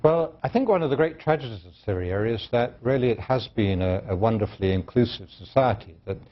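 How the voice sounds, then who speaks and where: A man answering as a studio guest on a television call-in program, measured, with frequent restarts. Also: medium pitch, animated, highs cut off. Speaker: studio guest, terrorism analyst.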